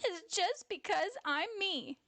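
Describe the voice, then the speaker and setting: A woman's voice: sits high, fairly even intonation, slow, gravelly, voice pushed up, full character voice. A woman voicing a sad, hurt young girl bear in a storybook, with a plaintive, childlike, crying manner. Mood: upset outburst.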